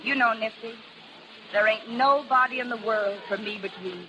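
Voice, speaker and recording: A lonesome, needy American showgirl, played by an actress, speaking in slangy, pleading lines. It is 1930s radio drama acting on a clearly noisy old recording. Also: a little high, normal speed, close to an everyday voice.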